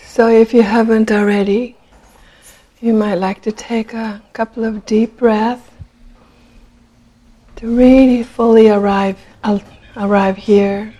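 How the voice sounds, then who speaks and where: A woman leading a guided meditation in a gentle, unhurried manner, leaving long pauses between phrases. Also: fairly high pitch, flat delivery. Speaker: meditation teacher.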